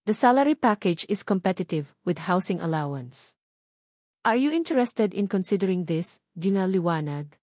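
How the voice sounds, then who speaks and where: A woman's voice: medium pitch, big pitch swings, normal speed, a little mellow, no echo, clean studio sound. A woman voicing a formal, businesslike female HR caller in an audio story.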